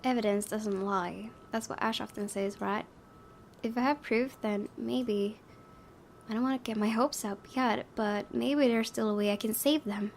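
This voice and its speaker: A woman's voice: fairly even intonation, measured pace, gravelly, darkish tone. A woman doing let's play narration, reading a story aloud expressively with casual, offhand asides. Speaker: gaming video host, reading a visual novel aloud. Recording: faint low rumbling background noise.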